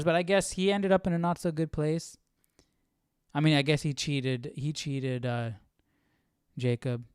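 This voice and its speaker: A man hosts a casual Bible study, reading and commenting in a conversational way. His voice is fairly high for a man and very clear, recorded dry. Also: dry recording. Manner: conversational, thinking aloud. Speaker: host of a live Bible study.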